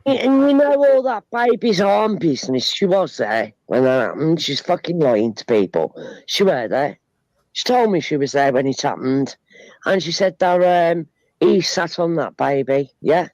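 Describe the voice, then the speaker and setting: A woman's voice: deep voice, big pitch swings, normal speed, darkish tone, no echo, dull audio. A woman in a heated argument, talking in an insistent, repetitive way.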